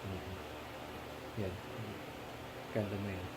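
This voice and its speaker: A man with a Filipino accent chatting casually about a film, switching between Tagalog and English with many pauses and fillers. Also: low-pitched, little pitch movement. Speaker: podcast co-host. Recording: noisy home recording.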